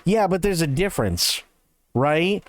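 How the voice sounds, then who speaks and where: A man's voice: on the high side, expressive, measured pace, no room sound. A man ranting sarcastically while reacting to a live showcase stream, swearing often and mocking what he hears. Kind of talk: profane live-stream commentary.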